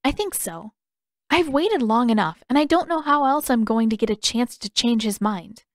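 Woman reader as determined, guarded teen girl, audiobook dialogue, higher register. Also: lively intonation, normal speed, dry recording, studio quality, natural voice. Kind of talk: character dialogue in audiobook narration.

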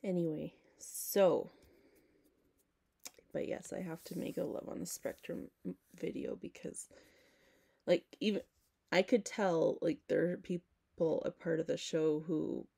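A young woman talking casually to her camera at home, hoarse, rambling with lots of "like" and self-corrections. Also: deep voice, unhurried, pauses often, mellow. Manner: rambling, self-interrupting, frequent "like". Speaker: vlogger. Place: small home room, indoors.

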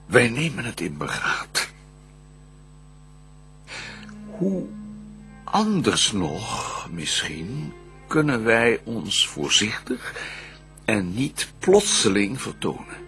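A proud, scheming devil of verse radio drama, rasps out his plans slowly and grandly. The voice is gravelly and forceful, swooping from low menace to loud declamation. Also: very animated, faint music behind, heavily acted. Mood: wary, plotting. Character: vengeful banished devil, proud, scheming, fallen angel.

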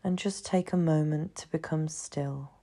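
A woman narrates a guided meditation in a soothing, hushed voice with a gravelly fry at the edges. Her delivery is very slow and evenly pitched, with long pauses between short phrases. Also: fairly even intonation.

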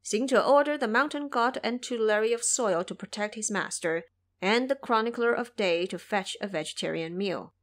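A woman's voice: mid-range voice, moderate pace. A woman narrating a scripted audio drama as a storyteller.

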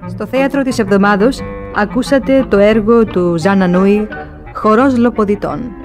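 A woman with a mid-pitched voice announces for radio. She reads credits in a formal, measured way, with brassy instrumental music clearly behind her. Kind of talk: scripted cast credits announcement.

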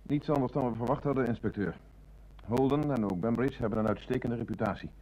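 An actor playing a dutiful police detective in a radio play, reporting in a matter-of-fact, clipped way. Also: male voice, medium pitch, a little fast, mellow, lightly acted, rough recording. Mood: factual, knowing. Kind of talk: Dutch radio play acting.

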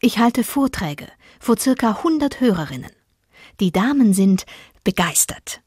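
An actress voicing an educated, embittered chemist and wife, reading letters aloud in a measured, articulate way for a radio play. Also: female voice, fairly high pitch, big pitch swings, darkish tone, husky, no room sound, studio quality, exaggerated voice. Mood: earnest.

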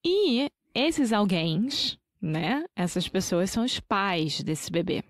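A woman's voice: mid-range voice, very animated, takes it slowly, no room sound, clean studio sound. Brazilian woman co-hosting a language podcast. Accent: Brazilian Portuguese. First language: Portuguese. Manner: laughs often, clear careful pronunciation. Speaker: podcast co-host teaching Portuguese. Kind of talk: casual language-teaching chat.

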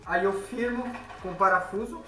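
A man's voice: sits high, moderate pace, bright, forceful tone. Male tradesman, 30s, hands-on explainer, roomy workshop sound with faint music under him. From Brazil. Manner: plain explanatory, frequent tag questions, working while talking. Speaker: window installer. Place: large workshop indoors. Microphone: phone camera nearby. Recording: echoey workshop with background music.

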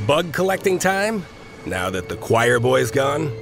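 Gravelly male mercenary, cocky, sardonic, lively pitch, video game character, music behind. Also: unhurried. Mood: scheming. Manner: wry, sarcastic, slangy.

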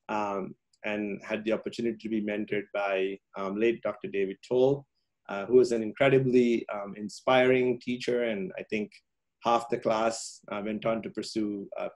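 A man's voice: lowish voice, darkish tone. A man from Sri Lanka giving a public science talk over a video call, with many ums and uhs and frequent restarts.

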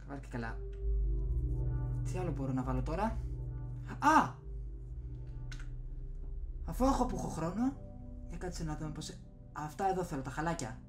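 A man's voice: a little high, lively intonation, pauses often. Young greek guy streaming a game from his room, kinda talking to himself, music playing behind him. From Greece. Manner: muttering to himself while playing. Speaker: gaming video host. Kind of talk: casual gameplay commentary. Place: small room at home. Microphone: desktop USB microphone.

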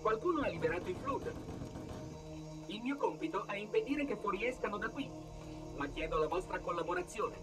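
Video game robot caretaker: officious, courteous, swooping intonation, set back from the mic with music behind. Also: male voice, high voice, expressive, normal speed, far from the mic. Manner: formal, polite, officious. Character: fussy, courteous floating robot guardian of an ancient installation. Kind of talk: dubbed video game acting.

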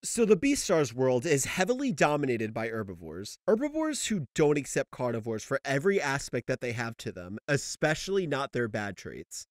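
A man's voice: medium pitch, no echo, clean studio sound. A young man giving casual scripted manga commentary as a video essay host, informal, sweary and emphatic. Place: voice-over. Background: faint music.

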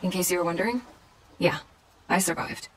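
An actress as a low-voiced, jaded woman pilot. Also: fairly quick, a little hiss or hum, lightly acted, rough recording. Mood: wry. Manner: blunt, wry, slangy. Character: jaded, tough young woman pilot and mechanic telling her war story. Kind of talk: first-person cinematic video game narration. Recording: loud music under the narration.

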